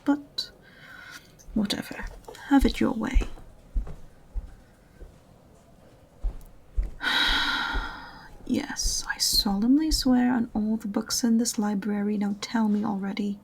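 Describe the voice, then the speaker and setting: A woman playing an unenthusiastic, sarcastic witch librarian in an audio roleplay, speaking softly and breathily with a condescending, exasperated manner. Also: on the high side, steady tone, measured pace, creaky, pauses often, slightly rough, full character voice. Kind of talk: audio roleplay acting addressed to the listener.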